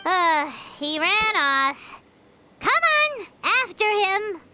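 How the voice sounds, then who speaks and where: A woman's voice: very high-pitched, very animated, extremely slow, very noisy, exaggerated voice. A woman voicing a tiny, blunt female companion creature who teases and hurries others along in a video game. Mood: impatient. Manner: blunt, teasing. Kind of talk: game voice acting.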